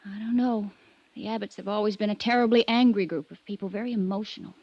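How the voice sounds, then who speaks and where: A woman's voice: mid-range voice, fairly even intonation, fast talker, a little mellow, no room sound, close to an everyday voice. An actress playing a troubled, lovelorn young woman from a wealthy family in a 1950s radio drama, confiding wistfully and hesitantly. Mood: uneasy. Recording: muffled vintage radio recording.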